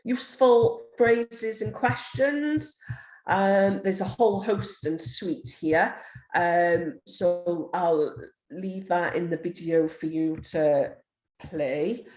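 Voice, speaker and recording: A woman with a deep, gruff voice, a nurse educator giving a recorded online lecture in a forthright, quick manner with frequent ums. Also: a little mellow. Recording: roomy echo.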